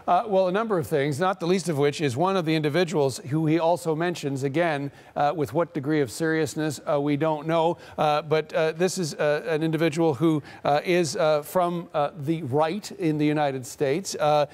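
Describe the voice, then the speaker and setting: A middle-aged correspondent talks through a live news segment in a TV studio. His voice sits a touch higher than most men's, and he speaks in broken-up phrases with frequent "uh" pauses. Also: steady tone, a little mellow. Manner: halting, many "uh" pauses.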